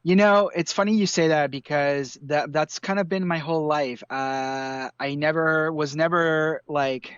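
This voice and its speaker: A man's voice: a little high, a little slow, few pauses, clean tone, no room sound, muffled recording. A man who grew up in Ecuador, a guest artist chatting casually with many fillers over a remote call. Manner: relaxed, rambling with many fillers. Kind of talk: casual interview chat. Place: home room in hot city with air conditioning.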